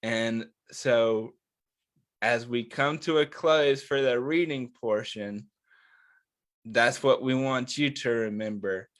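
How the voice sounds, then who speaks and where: A man with a middle-pitched voice and an unhurried pace leads a storytime for young children. He speaks in simple, reassuring phrases, stretches them out and often checks in with his listeners. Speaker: children's storytime host reading a picture book. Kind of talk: storytime read-aloud and chat to young children.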